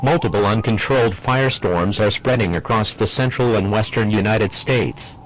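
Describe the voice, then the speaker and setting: A man voicing a stern official emergency alert announcer, reading a formal scripted warning in a measured, impersonal manner. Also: fairly low pitch, slight background noise, close to an everyday voice, rough recording. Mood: grave, impersonal.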